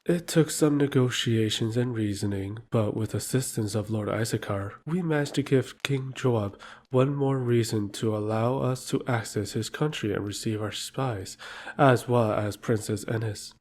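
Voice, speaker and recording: An actor playing a courteous, scheming nobleman who speaks in an unhurried, courtly manner. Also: medium pitch, a little mellow, natural voice.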